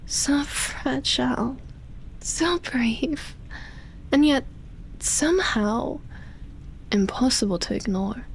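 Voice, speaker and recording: Woman acting as ancient female vampire, high breathy voice, whispery, seductive and possessive, extremely slow teasing ASMR roleplay. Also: lively intonation, a little hiss or hum, full character voice, rough recording. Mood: wistful, fascinated. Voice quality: breathy, often dropping into a whisper. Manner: slow, drawn-out, teasing, with lingering pauses.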